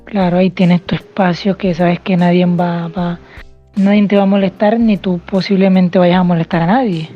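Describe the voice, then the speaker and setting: A woman's voice: on the low side, steady tone, normal speed, lo-fi sound, faint music behind. A woman hosting an interview podcast in a conversational way, asking questions and responding to her guest. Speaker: interview host.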